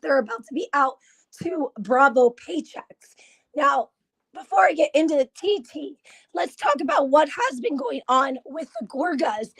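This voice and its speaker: A hoarse woman with a high, lively voice hosts a casual live stream. She chats in a confiding way and keeps stopping to clear her throat. The sound is dry and close. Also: no echo, poor audio. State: hoarse from laryngitis. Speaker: gossip channel host.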